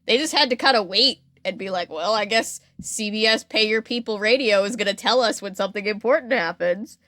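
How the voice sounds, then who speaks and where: A woman's voice: mid-range voice, fairly quick, bright, forceful tone, dry recording. Casting: female podcast host, mid-range voice, casual and wry, close dry recording. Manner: wry, playful asides. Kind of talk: casual conversational storytelling with commentary.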